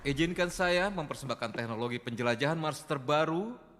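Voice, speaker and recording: Seorang pria menyulihsuarakan ilmuwan paruh baya yang bangga dalam film kartun. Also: male voice, fairly high pitch, animated, brisk, noisy background, lightly acted. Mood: proud. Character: proud middle-aged male scientist presenting his invention. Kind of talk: cartoon dub.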